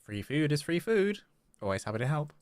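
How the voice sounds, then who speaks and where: A man's voice: a little high, big pitch swings, brisk, noisy background. A man live-streaming a game and commenting casually.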